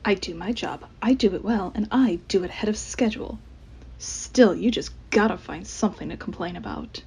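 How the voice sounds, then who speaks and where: A woman's voice: mid-range voice, moderate pace, darkish tone, a little hiss or hum, close to an everyday voice. A woman playing a shy, weary accountant in a radio mystery drama, grumbling to herself in a guarded way. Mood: resentful. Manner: muttering to herself, sighing, guarded.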